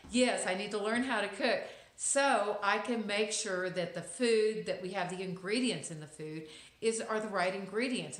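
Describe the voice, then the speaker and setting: A woman's voice: mid-range voice, a bit bright. A woman in her fifties with a Texas accent, giving a casual, reflective inspirational talk to camera with wry asides and frequent ums. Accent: Texan. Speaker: vlogger. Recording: echoey room.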